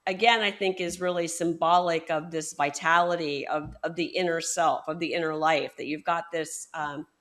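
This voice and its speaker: Soft-pitched woman hosting a book interview. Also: steady tone, moderate pace. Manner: hesitant, many fillers and restarts. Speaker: podcast host. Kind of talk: conversational interview.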